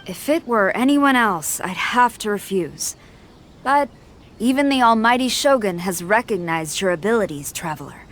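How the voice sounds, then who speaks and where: A woman acts as a stern, dutiful army general in a game. Her voice sits at a middle pitch, formal and composed, with faint noise behind it. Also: expressive, normal speed, a bit bright, close to an everyday voice. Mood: gracious, composed. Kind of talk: game character acting.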